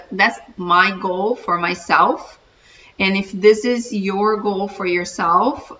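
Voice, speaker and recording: A middle-aged Brazilian woman talks straight to the viewer in an earnest, encouraging way, her Portuguese accent plain. Her voice is bright yet sits fairly low. It is recorded from a distance and sounds dull, with a faint hiss. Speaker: vlogger, channel host. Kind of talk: casual self-introduction to camera.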